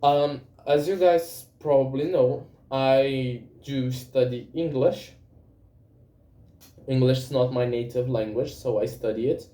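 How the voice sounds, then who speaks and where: A young man with a Brazilian accent talks slowly and carefully in English. He hesitates often and corrects himself as he goes, in a mellow tone. His voice rings in an echoey room. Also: mid-range voice. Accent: Brazilian Portuguese. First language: Portuguese. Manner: hesitant, many fillers and self-corrections. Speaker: vlogger. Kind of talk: casual spoken journal, language practice.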